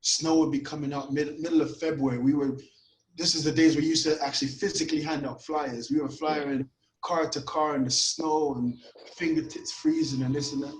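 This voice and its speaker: A man with a Caribbean-tinged Toronto accent talks in an animated, story-driven way, peppering his talk with "you know what." His voice sits a little high. He comes through a video call from an echoey room with a faint hiss behind him. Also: fairly quick. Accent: Toronto, Caribbean-influenced. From Canada. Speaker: podcast guest, grime artist. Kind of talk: casual chat over video call.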